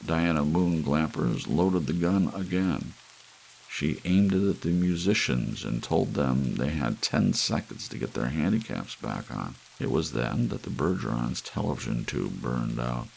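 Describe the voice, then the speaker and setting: A man narrating a scripted story as an ASMR audiobook, with soft rain behind him. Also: a bass voice, expressive, measured pace, vocal fry, rough recording. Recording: faint hiss with rain sound.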